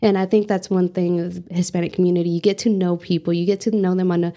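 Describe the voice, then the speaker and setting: Mellow-voiced young woman interviewee. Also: lowish voice, little pitch movement, fast. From United States. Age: young adult. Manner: earnest, reflective, many fillers. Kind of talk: oral history interview.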